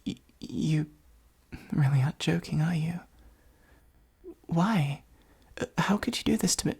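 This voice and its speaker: A woman playing a possessive supervillain who is secretly fond of a hero, in an intimate ASMR roleplay, speaking softly and breathily with an earnest, gently wry delivery. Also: low voice, measured pace, heavily acted. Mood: hurt, pleading. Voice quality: soft, husky, breathy, often near whisper.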